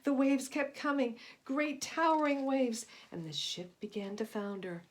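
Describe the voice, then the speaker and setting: A woman reading a picture book aloud to young children in expressive storytelling narration. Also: high voice, unhurried.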